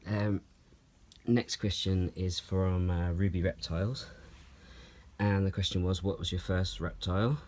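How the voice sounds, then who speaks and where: A young man from Norway, answering viewer questions about his reptiles at home in a calm, unhurried way, with many ums and soft laughs. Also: deep voice, a little hiss or hum. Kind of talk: relaxed Q&A vlog. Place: at home, handling snakes while filming.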